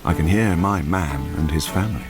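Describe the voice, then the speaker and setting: A man's voice: low voice, moderate pace, loud music behind the voice. A man acting in a radio drama as a brooding crime novelist who muses aloud and questions himself as he narrates. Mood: brooding, intent. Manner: musing, asks himself questions. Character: brooding male crime novelist narrating his own plot. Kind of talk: intimate radio drama monologue.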